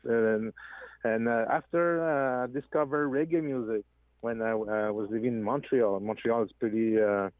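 A man's voice: medium pitch, steady tone, normal speed, no room sound. Hesitant man on a tinny phone line. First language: French. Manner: hesitant, searching for English words, many restarts. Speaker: guest singer. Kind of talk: interview over the phone. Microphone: telephone.

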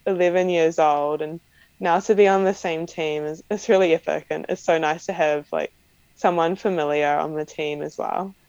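A young woman with a strong New Zealand accent talks casually in an interview, her speech peppered with "like" and small laughs. Her voice sits low with a gravelly, fry-tinged edge. The sound is dry and close, a bit dull and lo-fi. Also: steady tone, moderate pace, a little mellow, dry recording. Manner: chatty, frequent "like" fillers and light laughs. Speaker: professional cyclist guest.

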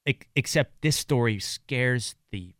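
Male radio co-host in his thirties, bright and forceful tone, dry studio sound, animated, restarts a lot. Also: medium pitch, slow pace, dry recording. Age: 30s. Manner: animated, restarts often, argues a point. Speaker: radio talk show co-host. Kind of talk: casual talk radio discussion. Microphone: studio microphone.

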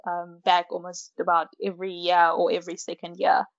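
A woman's voice: lowish voice, completely flat delivery, fairly quick. Casting: woman in her twenties, South African accent, chatty interviewee telling her story, slightly muffled sound. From Malawi, grew up in South Africa from about age six. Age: 20s. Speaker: interview guest, science postgraduate student.

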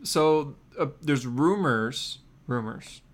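A man's voice: medium pitch, expressive, slow pace. Apologetic man chatting casually as podcast co-host. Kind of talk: casual comic-book news chat.

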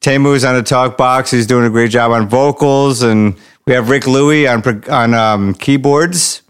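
A man's voice: medium pitch, unhurried, smooth. A man hosting a music podcast, speaking in a casual conversational way as a fellow musician. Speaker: podcast host and bass player. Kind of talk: casual podcast interview and introduction. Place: music recording studio.

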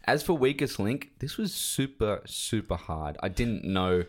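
A man's voice: lowish voice, very animated, a little slow. A man co-hosting a podcast, talking casually about films and searching for his words as he goes. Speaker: podcast co-host. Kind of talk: casual film discussion.

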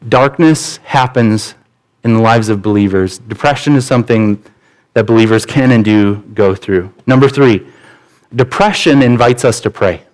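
A man's voice: fairly low pitch, normal speed, darkish tone, poor audio. A man preaching a sermon as pastor, earnest and conversational, often restarting his phrases. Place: church.

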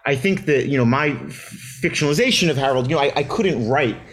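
Man, novelist guest, mid-pitch, reflective, halting restarts. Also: animated, a bit bright. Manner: thoughtful, many restarts and fillers. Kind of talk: reflective interview answers.

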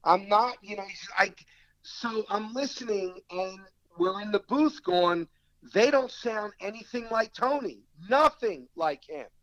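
A man's voice: high-pitched, a little slow, no room sound, highs cut off. A man with a South Philadelphia accent, an actor telling stories in a casual interview, acting out what others said to him. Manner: animated anecdote-telling, quoting others in dialogue. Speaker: actor guest.

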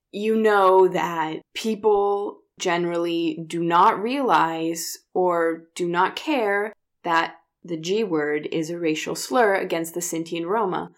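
A woman's voice: on the low side, steady tone, slow pace. A woman co-hosting a discussion podcast, explaining and criticizing in an earnest, conversational way. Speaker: podcast co-host.

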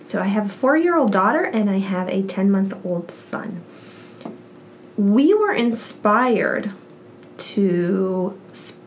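A woman and mother speaking as a podcast guest, talking in animated bursts with lively emphasis. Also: mid-range voice, gravelly, slight background noise. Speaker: guest, parent. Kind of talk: casual interview chat.

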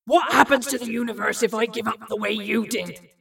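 A woman playing a stubborn, obsessive scientist father in an audio drama, with a strained, forceful and defiant delivery. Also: a little high, a little fast, far from the mic. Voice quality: strained, gravelly. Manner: forceful, defiant, screaming and growling.

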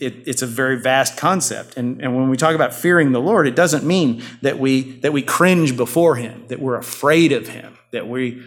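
A man at the pulpit preaching with an earnest, rising and falling cadence. His voice sits in an ordinary middle range. He speaks to a congregation in a church. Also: mid-range voice. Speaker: pastor. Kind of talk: sermon.

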